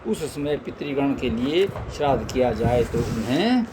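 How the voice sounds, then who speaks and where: A man reading a holy text aloud in measured recitation, now and then stumbling and adding chatty asides. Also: mid-range voice, animated. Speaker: scripture reader. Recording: street noise behind the voice.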